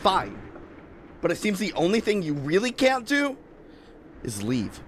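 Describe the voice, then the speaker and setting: A man's voice: sits high, wildly swooping pitch, a little slow, a little hiss or hum, exaggerated voice. A man playing an emotional, frustrated, plain-spoken human in a fan radio play. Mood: weary. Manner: emotional, colloquial.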